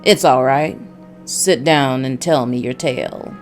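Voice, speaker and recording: A world-weary noir detective, read by a woman, tells his story in a low voice. The delivery is brooding and scripted, as in a visual novel game. Faint music sits underneath. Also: unhurried. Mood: gruff, curt. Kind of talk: scripted first-person noir narration.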